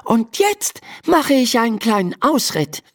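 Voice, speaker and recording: A woman voicing a young girl in a children's story, high and youthful, exclamatory and emphatic. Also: very animated, a little slow, heavily acted. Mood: bossy, pleased. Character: Laura, a young girl, alarmed then bossy in her dream.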